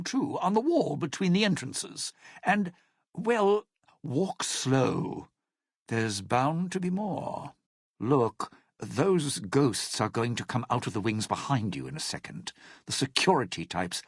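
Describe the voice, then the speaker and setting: An actor playing a cynical, self-serving ghoul lounge singer in a video game, with a gravelly, husky rasp and sardonic, theatrical, clipped phrasing. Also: male voice, mid-range voice, very animated, slow, dry recording, studio quality, exaggerated voice. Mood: cautious instructing. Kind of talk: video game character dialogue.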